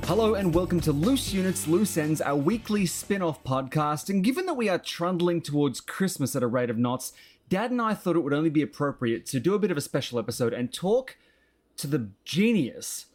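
A man's voice: a little high, very animated, fairly quick, a little mellow, soft background music. An Australian man hosting a casual podcast chat, with wry, joking asides. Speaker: podcast host.

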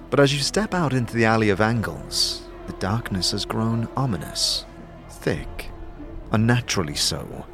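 A man giving measured, atmospheric scripted narration for an audio drama. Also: fairly low pitch.

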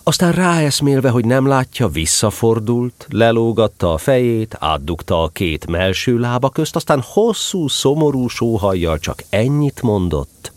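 A man narrating a children's story as a scripted, expressive storyteller. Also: mid-range voice, few pauses, dry recording.

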